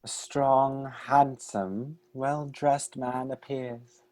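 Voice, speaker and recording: A narrator reading stage directions for a radio play in an unhurried, even storytelling manner. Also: medium pitch.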